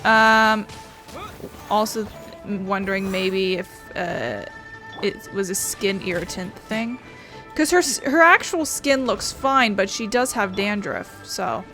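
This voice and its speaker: Woman, medium pitch, casual gameplay chat, rambling, blunt, light game music. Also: animated, slow pace, sharp tone, low-quality recording. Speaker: game streamer. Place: indoors at home.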